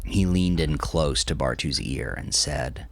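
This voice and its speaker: A man narrating a dark audiobook in a deliberate, dramatic, grim manner. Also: extremely low pitch, gravelly.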